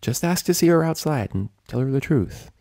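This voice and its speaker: A man's voice: fairly high pitch, animated, moderate pace, gravelly, mellow, no echo, studio quality, pitched above the performer's own voice. A man voicing a nervous, earnest young female pony with an anxious, self-doubting manner in a story.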